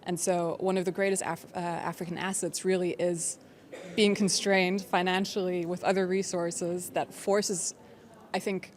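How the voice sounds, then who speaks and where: A woman panelist making careful, measured arguments in a discussion. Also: lowish voice, little pitch movement.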